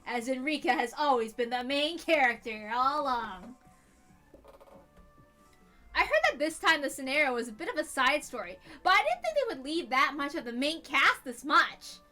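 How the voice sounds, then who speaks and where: Lady doing a cocky skeptical teen girl from a visual novel, high bright voice. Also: expressive, normal speed, soft background music.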